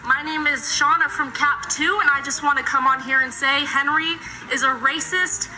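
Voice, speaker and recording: A woman's voice: sits high, fairly even intonation, moderate pace, sharp tone, slight background noise, low-quality recording. A woman making a blunt, defiant, profane announcement over a store PA. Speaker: retail worker quitting. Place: large retail store. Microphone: store PA phone, recorded on a video clip.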